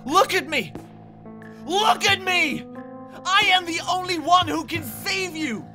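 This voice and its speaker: A man's voice: extremely high pitch, expressive, takes it slowly, pushed and bright, soft background music, exaggerated voice. A man playing a frantic, paranoid zealot who pleads urgently. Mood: desperate, frantic. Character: frantic, paranoid man insisting only he sees evil.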